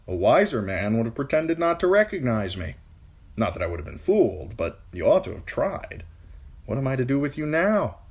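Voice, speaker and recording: A man's voice: mid-range voice, big pitch swings, normal speed, darkish tone, slightly rough, pitched above the performer's own voice. A man voicing a shrewd, sardonic nobleman who speaks dryly and with command. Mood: cold, sardonic. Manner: dry, sardonic.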